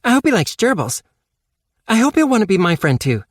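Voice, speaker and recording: A man's voice: high voice, very animated, a little fast, dry recording, clean studio sound, pitched above the performer's own voice. Casey, a man voicing a tearful teenage girl. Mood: tearful, emotional.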